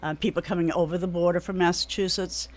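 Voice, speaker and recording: A middle-aged woman with a New England accent, a guest on a radio interview, speaking in a measured way with frequent ums. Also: on the low side, fairly even intonation. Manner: measured, hesitant with many ums. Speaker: political candidate, radio guest. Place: radio studio.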